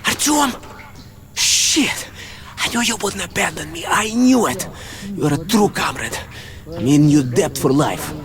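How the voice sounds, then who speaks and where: A man's voice: fairly high pitch, expressive, measured pace, crowd noise. A man playing a brash, foul-mouthed and loyal wartime comrade in a video game. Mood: grateful. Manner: foul-mouthed, blunt, urgent. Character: brash, loyal male comrade, tough survivor under fire. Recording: loud music over the voice.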